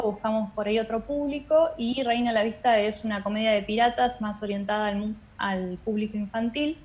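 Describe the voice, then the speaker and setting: A woman with an Argentine accent explaining things as a guest in an interview. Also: on the high side. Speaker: interview guest, theatre director and drama teacher.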